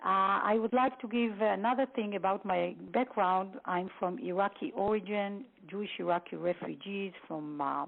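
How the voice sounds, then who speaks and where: A woman from Israel giving a halting expert briefing full of fillers and restarts, heard over a phone line. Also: medium pitch, gravelly. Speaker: guest expert on a phone seminar. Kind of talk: lecture-style briefing.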